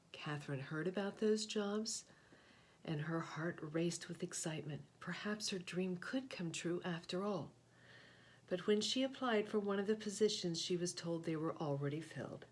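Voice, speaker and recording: A woman reading a children's picture book aloud, with deliberate, expressive phrasing and short explanatory asides. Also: fairly low pitch, a little hiss or hum. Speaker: read-aloud host, storyteller.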